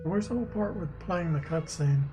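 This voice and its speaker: A man streaming as a game developer, casually thinking aloud and muttering haltingly to himself as he works through code. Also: a little high, background noise. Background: music, keyboard typing.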